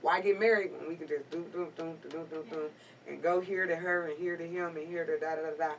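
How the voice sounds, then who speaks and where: A young woman in her twenties gives her candid, unhurried view on a discussion panel. She sits a little away from the microphone, with faint room noise underneath her voice. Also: deep voice, steady tone, recorded from a distance, poor audio. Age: 20s. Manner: candid, laid-back, drawn-out phrasing. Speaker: guest panelist. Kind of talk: casual panel chat.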